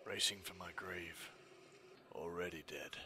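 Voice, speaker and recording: A man's voice: low voice, steady tone, measured pace, pushed and bright, a little hiss or hum, exaggerated voice. A man playing a grim, weary masked vigilante in a superhero fan dub, terse and brooding, with a whispery, strained voice.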